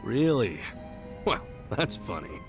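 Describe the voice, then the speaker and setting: An actor voicing a street-smart, skeptical sidekick in a video game, casual, blunt and wry. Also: male voice, medium pitch, big pitch swings, takes it slowly, music in the background. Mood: wry, challenging. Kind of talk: video game cutscene dialogue.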